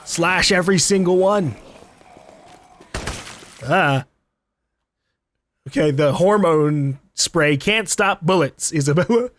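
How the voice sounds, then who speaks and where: A man's voice: a little high, takes it slowly, long pauses, fairly bright tone, slight background noise. A man giving casual let's play commentary in a playful way, calling out to the game's characters. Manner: playful, calls out to game characters. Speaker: gaming YouTuber, let's play host.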